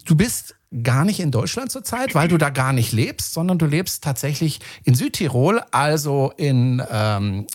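A man hosting a relaxed interview, his pitch swinging up and down as he talks. His voice is a little husky and breathy. He chats casually and laughs easily. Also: a little slow, few pauses. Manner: lively, chatty, laughs often.

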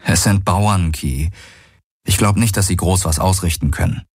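A man's voice: deep voice, moderate pace, a little mellow, breathy edge, no room sound. A man playing a weary, sardonic male space adventurer in an audiobook, with a blunt, dry manner. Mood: resigned. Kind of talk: dramatised audiobook dialogue.

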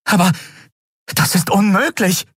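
A man voicing a distraught, sceptical woman leader in a fantasy audiobook, urgent, forceful and emotional. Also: mid-range voice, fairly quick, slightly rough, dry recording, clean studio sound, voice pushed up. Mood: disbelieving.

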